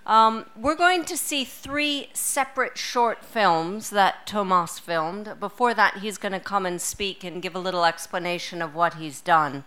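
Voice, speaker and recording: Bright, smooth-voiced woman host. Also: medium pitch, unhurried, hardly any pauses. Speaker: event host introducing a speaker. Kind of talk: prepared introduction.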